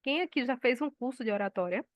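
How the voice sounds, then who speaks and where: A woman's voice: on the high side, animated, quick pace, no echo. A woman teaching a class in a conversational way, asking her students questions and acting out quoted dialogue. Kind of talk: live online class lecture.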